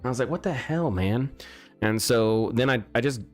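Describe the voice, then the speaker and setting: A man's voice: mid-range voice, normal speed, soft background music. A young man chatting casually on a live stream while building a game, exclaiming at mistakes and restarting his sentences, speaking into a studio mic. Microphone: studio mic with pop filter.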